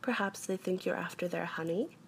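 A woman's voice: on the low side, a little fast, creaky, a little hiss or hum. A woman voicing a young boy in a children's storybook, practical and matter-of-fact, asking questions.

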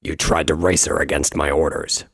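A narrator voicing a stern, menacing male warrior pony captain with a growling, husky voice and a grave, commanding manner. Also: a bass voice, flat delivery, moderate pace, fairly bright tone, no room sound, clean studio sound, pitched below the performer's own voice, heavily acted. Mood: stern anger.

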